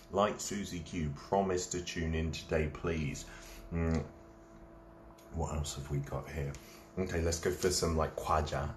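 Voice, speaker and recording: A man's voice: extremely low pitch, reverberant, background noise. A man, hosting a casual late-night live stream, reading out viewers' comments and chatting while he eats snacks. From England.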